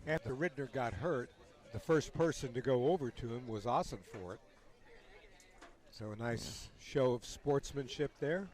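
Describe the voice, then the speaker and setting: A man's voice: medium pitch, unhurried, a little mellow. A man giving chatty, easygoing color commentary on a baseball broadcast. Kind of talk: conversational sports commentary.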